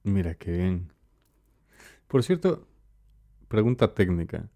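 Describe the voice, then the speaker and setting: Dark-voiced Ecuadorian man hosting. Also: sits low, sing-song, slow, no echo. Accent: Ecuadorian Spanish. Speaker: interviewer, host. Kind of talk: casual conversational interview.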